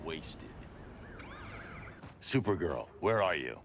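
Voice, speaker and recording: An actor playing a grim, stern masked vigilante in a video game, with terse, clipped, commanding lines. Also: male voice, rumbling low, big pitch swings, slow, noisy background, full character voice. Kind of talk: dramatic video game acting.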